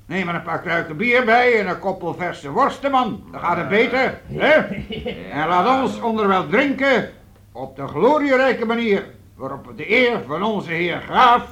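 A hearty guild captain. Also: male voice, high voice, sing-song, normal speed, bright, forceful tone, full character voice. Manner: hearty, boisterous toasting. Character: jovial burly guild captain, loud and hearty. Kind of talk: radio play acting. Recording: music playing behind.

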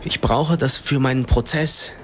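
An actor playing a desperate man accused of murder in a radio drama, halting and imploring. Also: medium pitch, steady tone, slight background noise, close to an everyday voice. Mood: desperate pleading. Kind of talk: modern radio drama acting.

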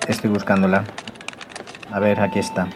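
A man playing a calm, unhelpful, rule-bound registry clerk in a radio comedy, polite and matter-of-fact. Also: fairly low pitch, moderate pace, over music, lightly acted. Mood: polite, unbudging. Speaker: actor in radio fiction. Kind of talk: scripted radio comedy dialogue.

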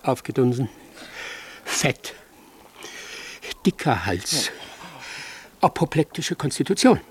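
An actor playing a cold, pedantic, gloating military doctor in a German radio drama, with a clipped clinical manner and a husky voice. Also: male voice, mid-range voice, very animated, slow pace, a little hiss or hum. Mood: coldly gloating.

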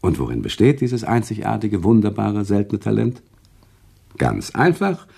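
A sentimental storyteller in a radio play. His low, gravelly voice swings widely between teasing and feeling, with dramatic pauses and emphatic swells. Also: huge pitch swings, mellow, exaggerated voice. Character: sentimental, worldly male storyteller, emotional and teasing. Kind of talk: German radio drama acting, conversational storytelling.

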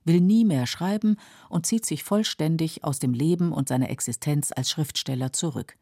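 A woman narrating a scripted literary documentary in a measured, explanatory way, with a husky, creaky voice. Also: low-pitched, warm tone, no room sound, studio quality. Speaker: narrator of literary radio feature.

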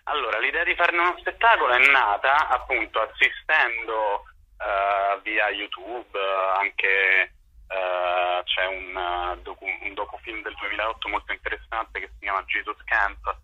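A man's voice: lowish voice, steady tone, measured pace, slightly rough, no room sound. A man interviewed as a playwright over a phone line, answering spontaneously and often searching for words. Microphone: telephone.

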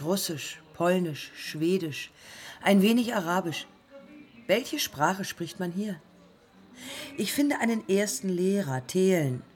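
An actress playing a defiant, haunted early woman pilot in a German radio play, speaking in terse, deliberate fragments. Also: lowish voice, darkish tone. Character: pioneer woman aviator, haunted, defiant, morphine-addicted.

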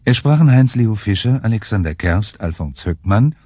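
Casting: male broadcast announcer for scripted credits, low register, mellow, dry close sound. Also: animated, normal speed, slightly rough, no echo. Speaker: radio announcer.

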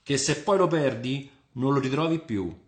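A man voicing a tipsy, jovial older Roman popular leader, loud, singsong and drawling, in an audio storybook. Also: mid-range voice, animated, moderate pace, echoey room, voice pushed up, lightly acted. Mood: philosophical teasing.

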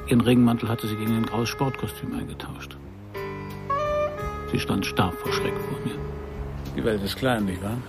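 A man's voice: on the low side, steady tone, moderate pace, long pauses, loud music behind the voice, close to an everyday voice. An actor playing a middle-aged private detective in a noir radio play, narrating his case in a dry, laconic, sardonic way. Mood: wry, reflective. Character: hard-boiled male private detective narrating his case, wry and probing.

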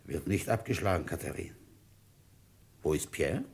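Feverish police commissioner, suspicious, radio play detective, low husky voice, widely swinging intonation. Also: male voice, animated, moderate pace, darkish tone, full character voice. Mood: gruff, familiar. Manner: insistent in questioning, musing when narrating. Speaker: detective and first-person narrator. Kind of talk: radio play dialogue and confiding narration.

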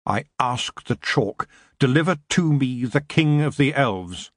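A man's voice: mid-range voice, animated, a little slow, a bit bright, no room sound, studio quality. A man voicing a young girl witch, speaking firmly and earnestly. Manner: firm, earnest, commanding. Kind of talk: audiobook character voice.

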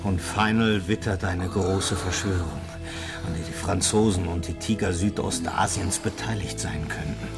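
A cocky, scheming spy in a crime radio play. His voice is very low and full of gravel, and he talks bluntly and conspiratorially, with loud music behind him. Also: moderate pace. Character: cocky, coarse male spy and lover, scheming adventurer. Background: prominent music.

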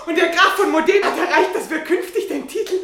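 High-voiced, passionate stage actress. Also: female voice, a bit bright. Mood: triumphant. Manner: theatrical, deliberate, emotive. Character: passionate theatre actress and troupe principal, affectionate, wry. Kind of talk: German radio drama acting.

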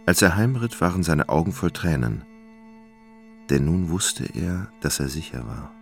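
Extremely deep man, creaky voice, literary narration, radio play storyteller, music underneath. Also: animated, unhurried.

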